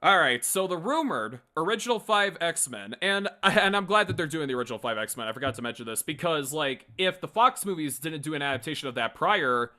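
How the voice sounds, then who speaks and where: A man's voice: a little high, big pitch swings, brisk, few pauses, sharp tone. A man giving casual, rambling fan commentary as a livestream host, blunt and prone to swearing. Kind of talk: casual opinionated fan commentary to a live chat.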